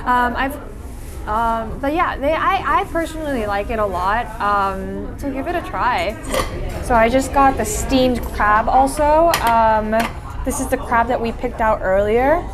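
A young woman with a slightly high voice talks straight into a close-held camera in a seafood restaurant. She chats casually, describing textures and gushing over the food. A busy crowd murmurs behind her. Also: unhurried. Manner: chatty and descriptive, gushing while tasting. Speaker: food vlogger. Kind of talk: casual food vlog narration. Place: seafood restaurant by a window, indoors. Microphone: camera held close, selfie framing.

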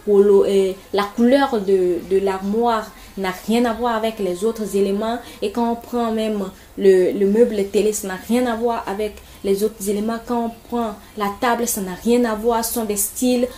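Mellow-voiced woman giving chatty decor advice. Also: medium pitch, steady tone, moderate pace, a little hiss or hum. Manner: chatty, repeats words, many fillers. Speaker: home decor YouTuber. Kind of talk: casual advice talk to viewers.

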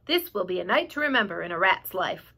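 Woman reading as a loud sneering rat, greedy and gleeful. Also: on the high side, lively intonation, moderate pace. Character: greedy sneering male rat, selfish and mocking.

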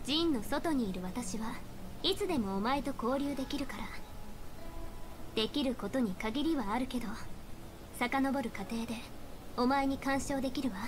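A woman's voice: high voice, moderate pace, background noise, lightly acted. An actress playing a small, self-assured young female seer in an anime game, speaking in a composed, authoritative, formal way. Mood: composed, instructive. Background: game music.

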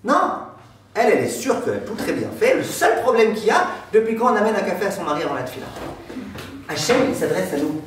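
A man in his thirties, a rabbi giving a lively storytelling lesson and joking with his class in a classroom. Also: high-pitched, fast, slight background noise. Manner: animated, gestures broadly, jokes with the class. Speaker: rabbi teaching a Torah class. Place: classroom with a decorated board, indoors. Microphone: far camera. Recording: echoey room from far off.

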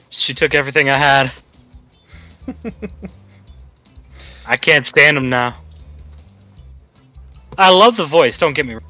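A man's voice: medium pitch, pushed and bright, no room sound. A man chatting and joking casually with his raid group over voice chat. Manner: joking, playful. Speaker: raid party member on voice chat.

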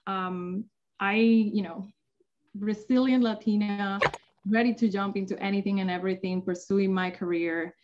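A woman's voice: mid-range voice, a little mellow. Dominican-born young woman on a video call. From Dominican Republic. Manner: earnest, with many fillers. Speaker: panelist. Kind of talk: webinar introduction. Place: home office with bookshelves. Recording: muffled video call audio.

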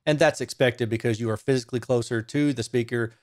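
A man, presenting a technical explainer in a measured, informal way at a close microphone. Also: medium pitch, fairly even intonation. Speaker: audio reviewer and presenter. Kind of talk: technical explainer, semi-scripted. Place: small home studio room. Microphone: microphone on a stand close in front.

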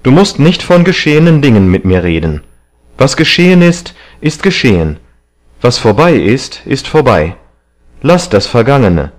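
A man's voice: fairly low pitch, sing-song, a little slow, darkish tone. A man voicing a callous young dandy in an audiobook novel, haughty, emphatic and defiant. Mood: indignant, defiant.

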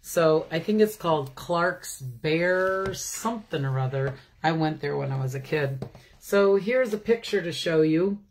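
A woman's voice: deep voice, animated, a little mellow, a little hiss or hum. An older woman hosting a children's story time, reading aloud with chatty asides and questions to her listeners. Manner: slow, asks listeners questions. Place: small room at home, indoors. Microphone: phone or webcam at arm's length.

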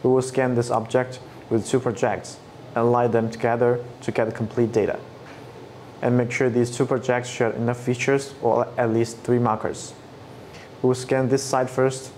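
Flat-toned man explaining software steps. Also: medium pitch, a little hiss or hum. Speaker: product trainer. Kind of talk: scripted tutorial narration. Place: studio set with dark backdrop. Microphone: lapel mic.

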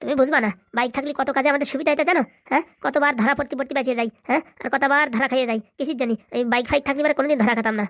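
A woman's voice: high-pitched, steady tone, very fast, hardly any pauses, fairly bright tone, no room sound, clean studio sound. A woman voicing a cheeky, quarrelsome young male goon in a cartoon. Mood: bickering. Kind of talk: cartoon voice acting.

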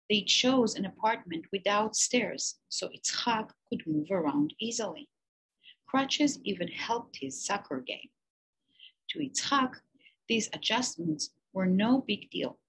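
Woman, husky voice, unhurried, expressive storybook reading, teacher reading to children, dramatic pauses. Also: medium pitch, rough recording. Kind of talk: reading a children's picture book aloud.

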